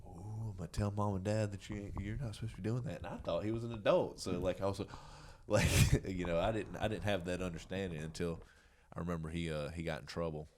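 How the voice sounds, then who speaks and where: Very deep booming Southern man. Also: steady tone, fast talker, hardly any pauses, a little mellow, a little hiss or hum. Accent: Southern US, Georgia. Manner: rambling, chuckling, lots of fillers. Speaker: podcast guest, jiu jitsu coach. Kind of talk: casual interview storytelling.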